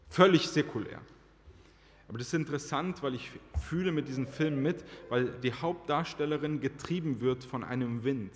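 A man in his thirties preaching a personal testimony sermon from a church pulpit, earnest and slowing down to stress key phrases. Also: medium pitch. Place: church sanctuary, large indoor hall. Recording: reverberant church hall.

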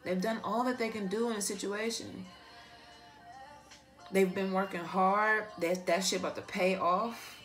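Slow-paced woman, casual and slangy, over music. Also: medium pitch. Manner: animated, slangy, swears freely. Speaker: tarot card reader, online host. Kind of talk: casual improvised card reading for an online audience. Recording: music playing behind the voice. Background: pop and R&B songs playing.

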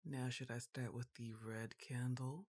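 Slow-talking teasing goth giantess girlfriend played by a woman. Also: a bass voice, fairly even intonation, darkish tone, slightly rough, heavily acted. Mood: teasing, commanding. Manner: drawn-out, teasing, sighing delivery. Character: goth giantess girlfriend, teasing, exasperated, fondly bossy witch. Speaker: audio roleplay performer. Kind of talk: intimate ASMR girlfriend roleplay.

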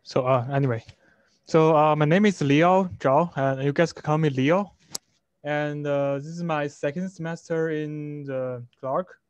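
A male student from China introduces himself with loose, filler-heavy talk that he keeps correcting. His voice sits a little high, and the call audio is dull and completely dry. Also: steady tone, unhurried, clean tone, dry recording. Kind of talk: self-introduction. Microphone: video call.

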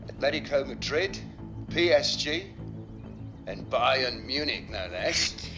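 Middle-aged man: businesslike agent dad, lively intonation, score behind. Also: mid-range voice, slow, over music. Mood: proud. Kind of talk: video game cutscene acting.

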